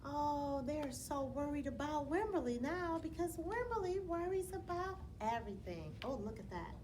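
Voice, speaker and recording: A woman with a fairly high, very lively voice reads a picture book to schoolchildren. She is expressive and teacherly, mixing story lines with asides and questions to the listeners. There is clear noise in the recording. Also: moderate pace. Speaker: elementary school storyteller reading to students. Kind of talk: read-aloud children's bedtime story with asides.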